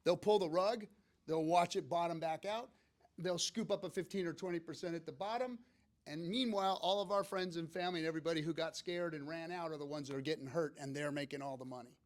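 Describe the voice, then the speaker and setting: A man on a seminar panel explains his points emphatically to the room. His voice sits a little high for a man. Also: fast talker. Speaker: seminar speaker. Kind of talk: live seminar panel talk.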